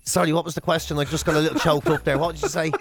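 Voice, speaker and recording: A man's voice: on the high side, flat delivery, very fast, creaky, faint music behind. A middle-aged Irish man chatting casually as a comic podcast host, joking, self-mocking and freely swearing. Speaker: podcast and radio host, comedian.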